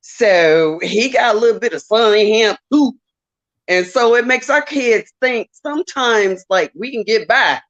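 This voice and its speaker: A woman's voice: on the high side, a little slow, muffled recording. A woman with a Southern US accent, calling into a show and chatting emotionally, laughing often. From Southern United States. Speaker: caller. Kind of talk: casual call-in chat.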